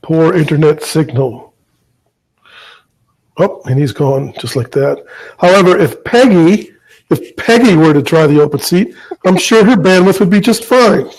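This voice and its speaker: A man's voice: fairly high pitch, lively intonation, a little slow, a little mellow, low-quality recording. A man co-hosting a casual video chat, making wry, teasing remarks.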